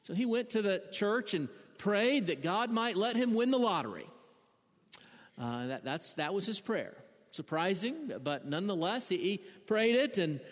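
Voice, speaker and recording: A pastor delivers a sermon in a high voice, with long reverb from a large church sanctuary around him. He stammers often and repeats words as he works through his points. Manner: frequent stammered word repeats.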